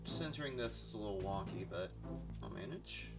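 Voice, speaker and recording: A man's voice: on the low side, expressive, fairly quick, gravelly. A man giving casual, rambling livestream commentary as he explains the video games he plays. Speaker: video game streamer. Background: game music.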